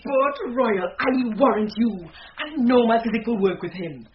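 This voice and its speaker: An actress playing a witty, mischievous, scheming gentlewoman servant with a British accent and a sly, relishing manner in a Shakespearean radio comedy. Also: fairly high pitch, expressive, moderate pace, close to an everyday voice. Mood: conspiratorial. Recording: dull, slightly noisy radio broadcast.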